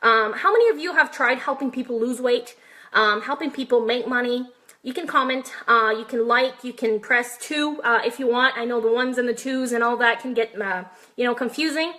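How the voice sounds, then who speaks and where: French Canadian woman, high and bright, chatty and direct network marketing coach on a live stream. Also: moderate pace. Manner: chatty and direct, peppers points with "right?" and questions to viewers.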